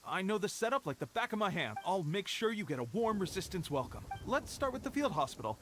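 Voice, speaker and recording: Eager, high-voiced young soldier. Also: male voice, big pitch swings, a little fast, a bit bright. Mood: eager, friendly. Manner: earnest, enthusiastic. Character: eager young male foot soldier, friendly and proud. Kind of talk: game cutscene voice acting. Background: faint music.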